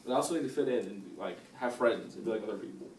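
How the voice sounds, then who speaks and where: A man's voice: lowish voice, rapid-fire, natural voice. A man playing a defensive sixteen-year-old son in family therapy, in an amateur role-play. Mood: grudging, subdued. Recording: roomy, distant mic with faint noise.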